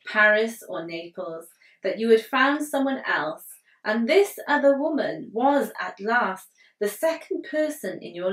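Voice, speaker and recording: Slow-reading woman poet in an echoey room. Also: medium pitch, fairly bright tone. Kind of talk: poetry reading. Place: living room, indoors on a sofa. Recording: roomy living-room echo, distant mic.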